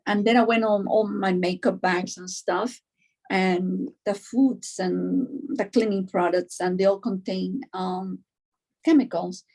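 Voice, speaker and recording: Middle-aged Cuban-born woman, halting conversational delivery. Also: medium pitch, steady tone, a little mellow. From Cuba, later Florida. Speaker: doctor, interview guest. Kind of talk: conversational personal account.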